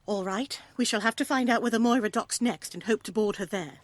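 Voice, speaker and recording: A woman's voice: a little high, fairly quick, no room sound, natural voice. A determined young woman of Tudor times, acted out by a woman for an audio play. She speaks in old-fashioned phrases with urgency and passion, loyal and set on her course. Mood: fiercely resolute.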